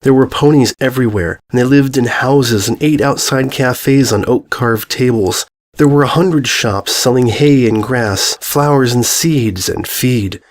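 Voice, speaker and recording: A male narrator reads in an expressive storybook style at a middling pitch. His delivery is lyrical and lingers on repeated phrases. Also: a little slow.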